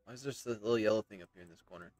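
A man's voice: fairly low pitch, rapid-fire, a little mellow, dry recording, soft background music. A man, casually commenting on a game as he plays and repeating himself. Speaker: game streamer. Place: indoor room.

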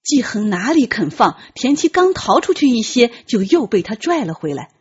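A woman reads a novel as a dramatic audiobook. Her voice is very husky and breathy, at a middle pitch with animated movement. The recording is slightly dull. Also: normal speed. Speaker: audiobook narrator. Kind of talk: dramatic scripted storytelling.

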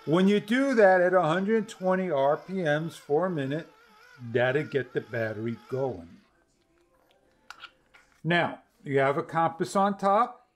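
Higher-pitched man demonstrating a product. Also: expressive, unhurried. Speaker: product reviewer. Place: small home studio room, indoors.

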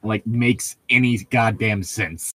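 A man's voice: on the low side, slow pace, sharp tone, husky, no echo. A man co-hosting a podcast in casual chat, opinionated and often laughing as he talks. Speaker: podcast co-host.

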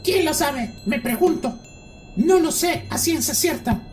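A woman's voice: high voice, animated, measured pace, a little mellow. A woman voicing a vain, bickering moon spirit in a children's cartoon, with a haughty manner. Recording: distant voice, faint music.

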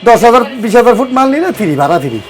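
A man working as a shopkeeper, giving a pushy sales pitch and repeating his prices. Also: sing-song. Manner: pushy, repeats prices, rapid pointing remarks.

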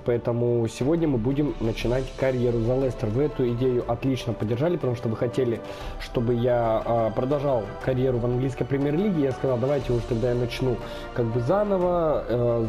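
Chatty young man narrating a football game. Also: mid-range voice. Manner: chatty, thinking aloud with many fillers. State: slightly unwell, voice affected. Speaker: gaming channel host. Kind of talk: casual let's-play commentary.

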